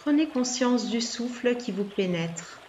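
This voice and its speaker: A woman leads a guided meditation as a yoga nidra teacher. She gives gentle, soothing instructions at an unhurried pace, leaving long pauses. The recording is slightly dull and lo-fi, with running water and noise behind her. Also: a little high, expressive.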